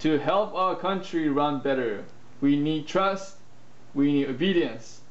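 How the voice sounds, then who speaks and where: A man's voice: on the high side, measured pace, echoey room, highs cut off, close to an everyday voice. A man voicing a pompous carrot emperor in an amateur puppet show. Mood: commanding. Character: stern, bossy male carrot emperor.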